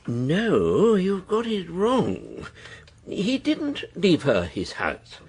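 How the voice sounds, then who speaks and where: A creaky, wavering old mother. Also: lowish voice, huge pitch swings, drawn-out words, darkish tone, exaggerated voice. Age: older. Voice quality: creaky, breathy, swooping voice. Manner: rambling, wavering. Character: elderly mother, fussy and wandering. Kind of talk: radio drama acting.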